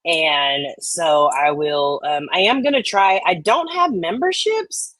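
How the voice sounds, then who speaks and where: A woman's voice: on the low side, lively intonation, slow, fairly bright tone, dry recording. A woman hosting a livestream with casual chat, joking and swearing in an informal way. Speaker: livestream host.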